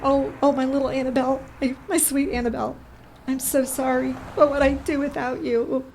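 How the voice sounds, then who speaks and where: A woman playing a distraught, ashamed mother who speaks haltingly and stammers, in an audio drama. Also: high voice, level tone, creaky, darkish tone, slight background noise, rough recording. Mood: tearful, sorry. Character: distraught, ashamed young mother living in car.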